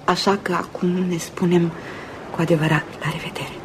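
An actress playing a fragile, wistful young woman in love in a Romanian radio play, soft and dreamy. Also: lowish voice, level tone, noisy background, lightly acted. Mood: wistful, tearful. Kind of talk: Romanian radio theatre acting.

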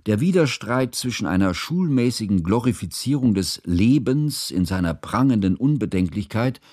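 Radio drama narrator: reflective scholarly man, dry close recording, deliberate literary delivery, husky timbre. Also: fairly low pitch, lively intonation, a little mellow, no echo. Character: reflective, scholarly male narrator recalling his acquaintances.

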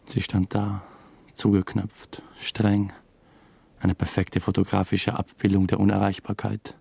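A brooding, suspicious man of the theatre in a radio drama. His Austrian-accented voice is low and creaky. He tells things intimately, with dry understatement. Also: completely flat delivery, unhurried, dark tone, exaggerated voice. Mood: brooding, wistful. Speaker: actor narrating a radio drama in the first person. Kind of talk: German-language radio drama acting, intimate narration.

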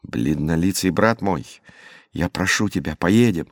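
A man's voice: low-pitched, measured pace, dry recording. A proud young would-be adventurer from a storybook, played by a man. He is stern and haughty, pressing his demands in grand declamatory phrases. The recording is dry, with no room sound. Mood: scornful persuading. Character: bold stern schoolboy adventurer, proud and bossy.

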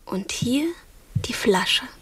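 A woman's voice: fairly high pitch, lively intonation, drawn-out words, a bit bright, breathy edge, whispered, far from the mic, exaggerated voice. An actress playing a playful, doting young servant woman in a German radio play, theatrical and coy. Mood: jealous, fussing. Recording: off-mic, faint noise and clicks.

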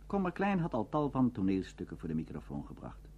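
Male documentary narrator. Low, animated delivery on a dull archive radio recording. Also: brisk, slight background noise.

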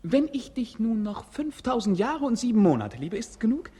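An actor playing a bored, capricious young prince in a 1950s German radio play, theatrical, poetic and mocking. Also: male voice, high voice, big pitch swings, moderate pace, dark tone, slightly rough, heavily acted. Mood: playful.

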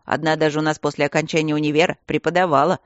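A woman's voice: sits low, level tone, rapid-fire, dry recording, highs cut off, lightly acted. A woman voicing a fussy, doting old male stone guardian in an audiobook, lecturing primly like a schoolmistress. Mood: confiding. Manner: prim, coddling, schoolmistress-like lecturing.

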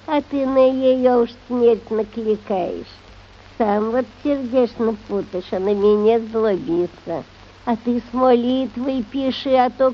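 A woman's voice: fairly high pitch, dull audio. An actress playing a pious, stubborn old village peasant woman with a rural Russian dialect and a folksy, muddled manner in a radio play. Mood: indignant. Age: older. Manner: folksy, drawn-out dictating, muddled. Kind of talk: radio play acting of a classic short story.

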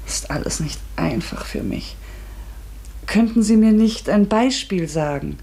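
A woman's voice: medium pitch, expressive, unhurried, mellow. An actress playing an earnest, inquisitive and easily bewildered woman in a radio play, speaking tentatively. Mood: hesitant, confused. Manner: tentative, questioning. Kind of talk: German radio play acting.

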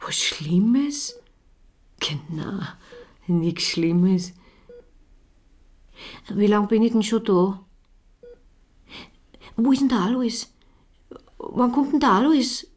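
Slow, breathy, dazed wounded woman. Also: medium pitch, lively intonation, darkish tone. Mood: disbelieving, anguished. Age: 50s. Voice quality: breathy, often whispering. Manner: halting, repetitive, dazed questioning. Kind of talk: radio drama acting.

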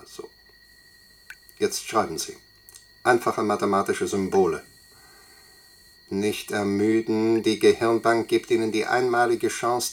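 A man plays a cold, stern psychotherapist running drills on an instruction film. His lowish voice gives clipped commands over a noisy recording, in science fiction radio drama style. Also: lightly acted. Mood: commanding.

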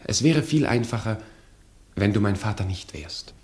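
An actor playing an earnest, wounded grown son in a radio drama, halting and reflective as he speaks. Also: on the low side, expressive, darkish tone, natural voice.

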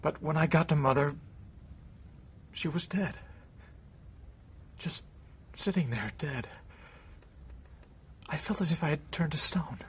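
An actor playing an anguished, browbeaten and bitter stepson, speaking emotionally and haltingly in a radio drama. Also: male voice, a little high, expressive, a little hiss or hum. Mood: numb, haunted.

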